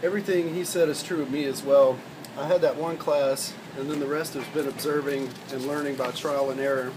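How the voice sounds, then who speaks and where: A man with an average-pitched voice talks in a group discussion. Clear ambient noise fills the room behind him. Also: fairly even intonation, a little fast. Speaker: college instructor. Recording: noisy room with ambient hum.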